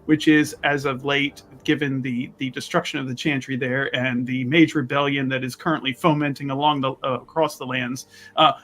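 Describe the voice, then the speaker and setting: A man playing a tabletop roleplaying game, talking casually but wordily, in halting phrases full of grand vocabulary. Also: medium pitch, little pitch movement, sharp tone, slight background noise.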